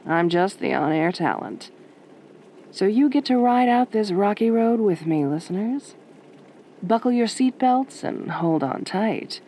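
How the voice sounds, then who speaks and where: Woman playing a velvety, slow-talking late-night radio DJ. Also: medium pitch, animated, slight background noise. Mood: playful, flirty. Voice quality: velvety, soft. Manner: flirtatious, teasing, wry. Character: sultry, sardonic female late-night radio DJ. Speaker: radio host character. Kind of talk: late-night radio show roleplay, soft spoken.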